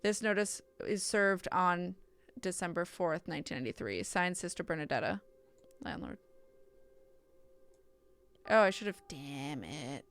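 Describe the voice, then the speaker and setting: A woman's voice: on the low side, lively intonation, a little slow, pauses often, fairly bright tone. A woman streaming a visual novel, reading the text aloud with casual asides and often stumbling and correcting herself.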